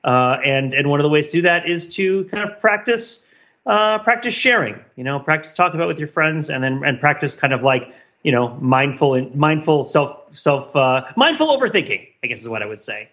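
A man's voice: fairly high pitch, sing-song, brisk, pushed and bright, highs cut off. A man talking as a podcast panelist in an emphatic, rambling, philosophical way, giving casual reflective commentary.

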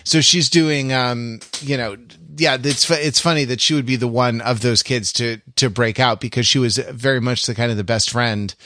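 A man's voice: medium pitch, fairly even intonation, normal speed, hardly any pauses, sharp tone, no echo, studio quality. A man co-hosting a podcast, chatting casually in a wry, digressive way as he thinks aloud. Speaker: podcast co-host. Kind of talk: casual pop-culture discussion.

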